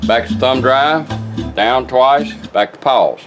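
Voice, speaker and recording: A man's voice: mid-range voice, slow pace. A man giving a casual, folksy hands-on product demonstration in plain words and short step-by-step instructions. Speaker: car radio restorer giving a product demonstration. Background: car radio playing news and music.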